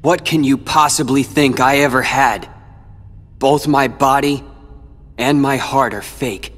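A man's voice: mid-range voice, steady tone, a little slow. An actor playing a somber, determined young man who knows he is a copy, in a video game cutscene. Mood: resolute. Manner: quiet, resolute, somber. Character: determined young male replica, quiet and resolute. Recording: reverberant game audio with faint music.

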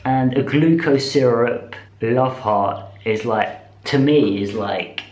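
A British man in his twenties talking casually to camera in a rambling, slangy vlog style, speaking in animated bursts. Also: medium pitch, takes it slowly, slight background noise. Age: 20s. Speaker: vlogger. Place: small indoor room with bare walls. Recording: echoey, roomy sound.

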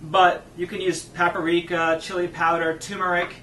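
A man's voice: sits high, little pitch movement, normal speed, bright, forceful tone, a little hiss or hum. A man in his thirties hosting a comedic cooking show, upbeat and agreeable, often laughing. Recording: echoey room, distant mic.

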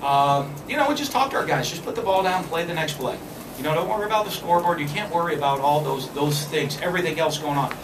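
A man in his forties, a football coach answering postgame questions at a press conference, speaking in a measured way with many fillers and restarts. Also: on the high side, lively intonation. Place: press room with backdrop. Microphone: podium mic, filmed from a distance. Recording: echoey room, far from the camera, background noise.

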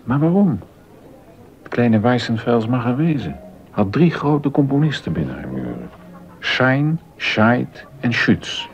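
A man's voice: medium pitch, very animated, unhurried, soft background music. An actor playing a curious, wry male court musician in a period docudrama, exclaiming and questioning. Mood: puzzled. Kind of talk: Dutch-language period docudrama acting. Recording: dull, muffled audio.

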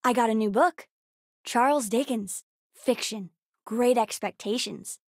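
A woman voicing an eager, precocious young girl in a video game, earnest and expressive. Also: a little high, a little slow, dry recording, studio quality. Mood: playful, bookish. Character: bright, eager, bookish young girl aboard a spaceship. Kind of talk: video game character voice lines.

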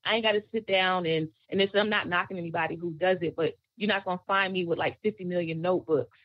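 A woman guest singer, chatting in an interview in a relaxed, storytelling way, laughing often. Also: lowish voice, quick pace, no room sound, muffled recording. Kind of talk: casual interview chat.

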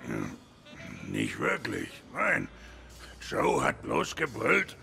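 A big gruff gorilla boxer from a video game. His voice is extremely gravelly and forceful, swinging up and down as he talks bluntly. There is faint noise behind him. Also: mid-range voice, huge pitch swings, drawn-out words, soft background music, full character voice. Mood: gruff recollection. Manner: blunt, colloquial. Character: big gruff male gorilla boxer, hot-headed but loyal. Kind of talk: German game dub dialogue.

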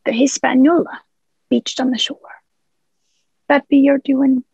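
A young woman plays a sly pirate leader in a radio drama, talking in salty dialect. The voice is high and gravelly, on a dull, dry lo-fi recording. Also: little pitch movement, measured pace, darkish tone, dry recording, exaggerated voice. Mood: coaxing. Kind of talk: stage-style radio play acting.

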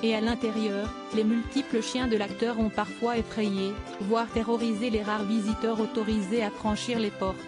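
Flat-toned female news narrator over music. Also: on the high side, moderate pace, few pauses. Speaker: narrator of a celebrity news video. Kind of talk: scripted narration. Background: background music.

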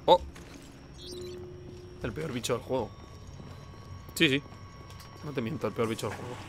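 A man's voice: mid-range voice, a little slow, background noise. A man with an accent from Spain gives casual gameplay commentary, informal and sweary, often muttering to himself. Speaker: let's play host.

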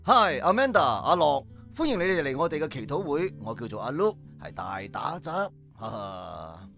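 Lively, jovial prayer-group organiser. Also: male voice, a little high, normal speed, vocal fry, soft background music, exaggerated voice. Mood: jovial, welcoming. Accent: Hong Kong Cantonese. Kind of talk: radio drama acting.

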